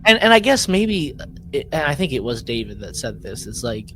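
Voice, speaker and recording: A man co-hosting a podcast in casual chat, rambling and halting, often repeating words as he works out a point. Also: mid-range voice, sing-song, bright, forceful tone, slight background noise. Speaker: podcast co-host.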